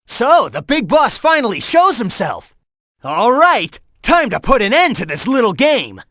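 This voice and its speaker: Brash game hedgehog hero, teasing and coaching his rival, animated delivery, dry close booth sound. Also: extremely high pitch, unhurried, dry recording, exaggerated voice. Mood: cocky teasing. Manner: cocky, teasing banter; urgent warnings and coaching. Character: cocky young male hedgehog hero, fast and teasing. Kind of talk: video game voice acting.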